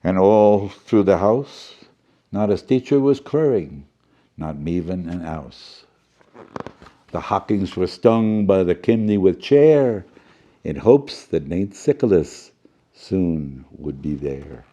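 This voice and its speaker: A man's voice: deep voice, animated, slow pace, mellow, dull audio, full character voice. A man playing a jolly, fussy professor storyteller who reads a children's storybook theatrically, with chatty asides and muddled words. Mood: dramatic, excited.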